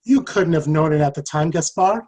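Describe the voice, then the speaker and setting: A man's voice: fairly high pitch, normal speed, mellow, close to an everyday voice. A man playing a loyal, consoling soldier comrade in an earnest play table read.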